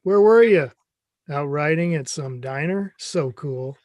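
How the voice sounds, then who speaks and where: A man's voice: a little high, expressive, unhurried, a little mellow, no room sound. A man playing a clueless, chummy middle-aged boyfriend in a comedy script read, earnest and a bit rambling. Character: Craig, mom's 55-year-old boyfriend, clueless, eager, chummy.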